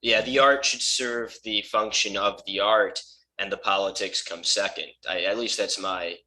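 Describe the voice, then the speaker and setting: A man's voice: on the low side, steady tone, normal speed, bright, forceful tone, low-quality recording. A man co-hosting a podcast in casual chat, agreeing often and talking in short phrases. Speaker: podcast co-host.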